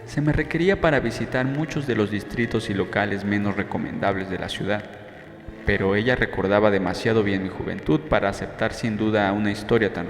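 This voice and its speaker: A man reading a novel as an audiobook narrator, in measured, dramatic first-person storytelling. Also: fairly low pitch. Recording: roomy long reverb, faint music. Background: faint music.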